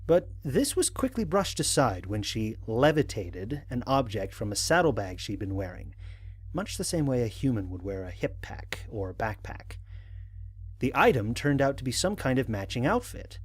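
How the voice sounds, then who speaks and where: A man playing a wry human astronaut captain in an audio drama, speaking in a dry, measured way. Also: medium pitch, sing-song, husky, no room sound. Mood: wry, reflective. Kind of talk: audio drama narration as log entries.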